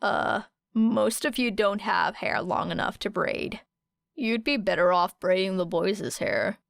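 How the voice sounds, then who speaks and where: A woman's voice: medium pitch, expressive, unhurried, vocal fry, no echo. A woman voicing a skeptical teenage boy with a dry manner in an audiobook story.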